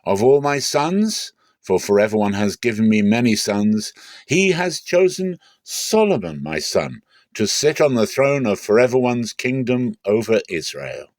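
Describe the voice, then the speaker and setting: A man as aged king, raised loud voice, declamatory, solemn, scripture drama, dry sound. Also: lowish voice, very animated, measured pace, no room sound, voice pushed up. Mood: proclaiming, stately. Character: aged king solemnly addressing his assembled people.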